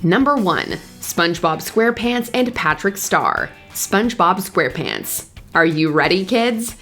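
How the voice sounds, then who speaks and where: A woman's voice: fairly low pitch, very animated, measured pace, a bit bright. A woman reading scripted narration for a countdown video. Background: faint music.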